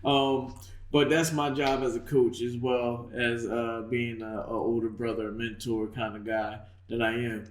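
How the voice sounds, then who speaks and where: A man's voice: medium pitch, level tone, measured pace, reverberant. A man hosting a casual podcast interview as a boxing coach, talking loosely and laughing easily.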